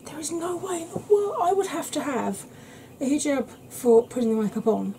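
A woman in her thirties chatting to camera at home in a soft ASMR style, rambling and often dropping into whispers. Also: high voice, normal speed, a little hiss or hum. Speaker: beauty vlogger. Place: small cluttered room at home.

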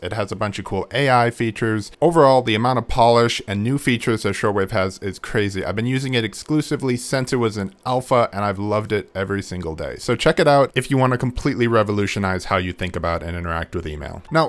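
A man hosting a DIY tech video, narrating casually with informal, wry, self-deprecating humor. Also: fairly low pitch. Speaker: host of a DIY tech project channel.

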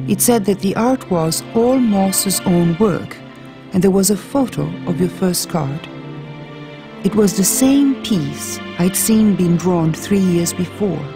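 A woman reading an audiobook as an earnest twenty-eight-year-old island woman writing an intimate letter. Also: lowish voice. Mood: wondering, earnest.

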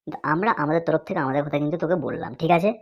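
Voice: medium pitch, expressive, very fast, creaky, lo-fi sound. An actor voicing a reasonable village friend in a comedy cartoon, advising. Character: reasonable village friend giving calm advice.

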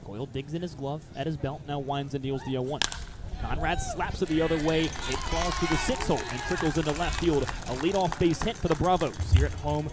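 A man's voice: fairly high pitch, a little fast. A man calling live baseball play-by-play for radio, mixing clipped phrases with detailed description.

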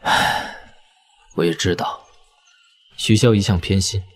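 An actor playing a weary, tender young lord and elder brother in an audio drama, speaking in a measured, reflective way. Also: male voice, sits low, steady tone. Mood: tender, rueful. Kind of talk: audio drama dialogue in Mandarin wuxia serial.

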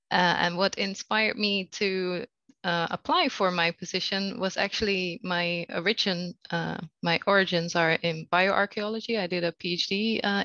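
A woman gives an informal panel introduction in a mid-pitched voice with plenty of creak. The recording is dry and a little dull in the top end. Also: a bit bright, dry recording. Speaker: panelist, research data steward.

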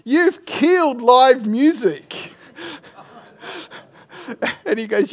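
A middle-aged man speaks informally at a conference through a headset microphone. His voice sits a little high for a man. He is self-deprecating and halting, often starting a sentence again in new words as he answers questions. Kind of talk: informal tech talk, answering audience questions. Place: indoor lecture room, on stage at a lectern.

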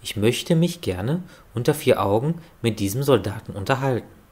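A man voicing a courteous, formal commander in a fantasy audio drama, speaking in a measured way. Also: medium pitch, animated, background noise, close to an everyday voice. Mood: formal, polite.

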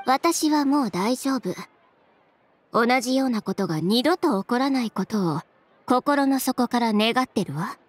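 A proud, sharp-tongued young woman from a video game. Her high voice swings expressively, yet she stays cool, haughty and unflappable. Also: animated, dry recording. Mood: mock politeness. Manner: cool, haughty, deliberate sarcasm. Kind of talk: Japanese game voice acting.

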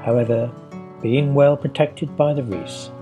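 A man giving scripted, measured travel narration as a voice-over. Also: medium pitch, fairly even intonation. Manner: measured and informative. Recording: voice-over with guitar music behind.

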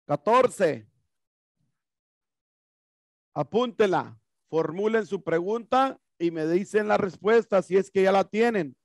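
Man, HVAC instructor, high voice, dry close sound, headset mic, classroom. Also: expressive, slow, pauses often, dry recording. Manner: explanatory, asks and answers his own questions. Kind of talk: classroom lecture. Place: classroom with whiteboard and HVAC equipment.

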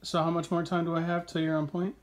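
A man's voice: on the high side, little pitch movement, quick pace. A young man hosting a vlog, chatting casually and joking easily while at home. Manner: joking, easygoing banter. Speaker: vlog host. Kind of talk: casual chat. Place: home living room, indoors. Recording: background music under the voice.

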